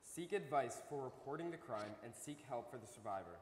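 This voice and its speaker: A man's voice: mid-range voice, lively intonation, brisk. A young man giving an earnest, declamatory readers theater recitation as a student performer. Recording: echoey hall, audience noise behind.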